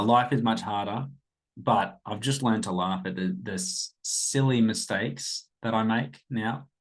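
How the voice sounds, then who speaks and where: A man's voice: on the low side, rough recording. An Australian man in his thirties hosting a podcast, talking about his own life in a rambling, hesitant way, with lots of ums and self-corrections. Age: 30s. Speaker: podcast host. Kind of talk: rambling personal monologue.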